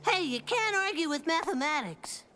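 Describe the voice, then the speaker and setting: A woman's voice: very high voice, animated, moderate pace, pushed and bright, slight background noise, full character voice. A woman voicing a cocky, know-it-all young boy in a children's cartoon, talking in a pompous, wisecracking way. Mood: smug. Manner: pompous, mock-scholarly, wisecracking.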